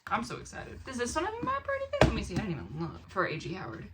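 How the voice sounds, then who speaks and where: A woman vlogger chatting casually and excitedly to the camera, animated and rambling with expressive asides. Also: on the high side, very fast, heavy background noise. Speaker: book haul vlogger and fiction author.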